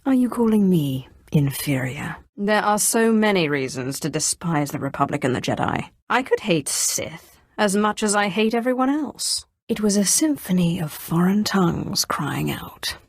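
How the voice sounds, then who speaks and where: A woman's voice: on the low side, lively intonation, normal speed, few pauses, dry recording, clean studio sound. A woman playing a haughty, menacing Sith sorceress in scripted video game dialogue, sardonic and imperious. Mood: contemptuous. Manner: imperious, sardonic, crisply commanding.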